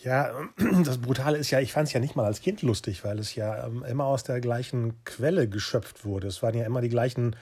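A man's voice: mid-range voice, brisk, hardly any pauses, a little mellow, slightly rough. A man hosting a casual podcast chat, wry and thinking aloud in a loose manner. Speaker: podcast host.

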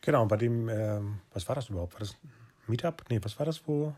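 German guy hosting a podcast, chatting casually with a guest, normal mid male pitch. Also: fast, darkish tone, a little hiss or hum. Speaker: podcast host. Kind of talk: casual interview chat.

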